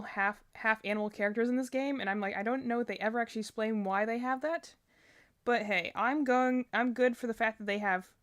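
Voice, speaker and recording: Female game streamer, mid-pitched, casual and rambling, thinking out loud as she reacts. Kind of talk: casual livestream chat.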